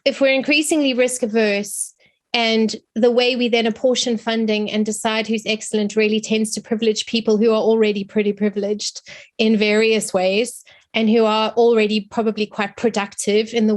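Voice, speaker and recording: A woman with a South African accent, her voice a little hoarse and pitched rather high. She works through her question in an online seminar, thinking aloud with frequent restarts and small apologies. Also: flat delivery, normal speed. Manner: searching, restarts often, apologetic. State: hoarse, recovering from illness. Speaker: seminar audience member asking a question. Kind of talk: thoughtful academic question. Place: online seminar, video call.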